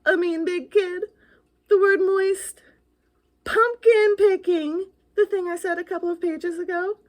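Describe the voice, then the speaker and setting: A woman's voice: very high-pitched, steady tone, measured pace, a little mellow, pitched above the performer's own voice. A woman voicing a jumpy, bluffing little ghost in a children's picture book, theatrical and full of anxious questions. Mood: indignant, pleading.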